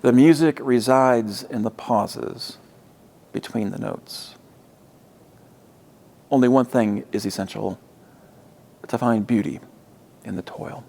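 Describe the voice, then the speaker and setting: Gravelly-voiced male pastor preaching. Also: mid-range voice, warm tone. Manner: measured, deliberate phrasing with emphatic pauses. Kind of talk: sermon with read quotations and reflective pauses.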